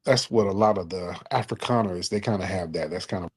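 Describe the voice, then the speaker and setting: A man's voice: deep voice, a little fast, breathy edge, no echo. A man hosting a live call-in stream, questioning callers bluntly and skeptically. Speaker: live show host. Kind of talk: casual live-stream chat.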